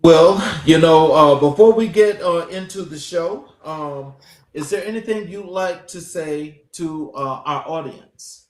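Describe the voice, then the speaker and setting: A man with a notably high voice hosts an interview show. His phrasing is deliberate and oratorical, like a prepared introduction, and the sound is clearly roomy and echoing. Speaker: show host. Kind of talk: scripted introduction and interview hosting.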